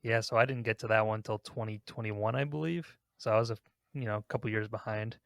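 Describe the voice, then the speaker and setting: A man's voice: lowish voice, fairly even intonation, fast, creaky, dry recording. Casual man chatting on a gaming podcast, dry sound. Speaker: podcast host.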